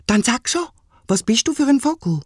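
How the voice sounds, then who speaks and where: A Swiss German man voicing an eager young boy who wants to be a bird expert, speaking quickly and insistently. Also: very high voice, sing-song, husky, dry recording, clean studio sound, voice pushed up, full character voice. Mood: pedantic, nervous.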